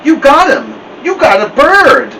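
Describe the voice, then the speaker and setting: Man voicing an excitable high-pitched young boy. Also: a little slow, heavily acted. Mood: excited, amazed. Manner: eager, exclaiming. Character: excitable, eager young boy, timid little brother. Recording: dull, distant mic with loud hum.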